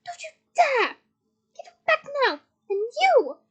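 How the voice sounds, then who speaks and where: Lady doing a raging teen witch from a storybook, super shrill n swoopy, screamy sarcastic bite. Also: very animated, slow pace, exaggerated voice. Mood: enraged. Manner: punches out words, screeching and shouting.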